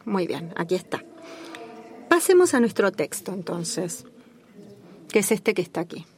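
A woman's voice: fairly low pitch, big pitch swings, darkish tone. Woman interpreting a formal meeting. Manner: measured and formal, with small restarts. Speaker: simultaneous interpreter. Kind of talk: interpreting a formal working-group call.

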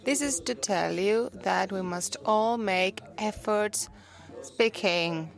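A woman's voice: mid-range voice, slow pace, creaky. A woman interpreting live into English, rephrasing on the fly with small restarts.

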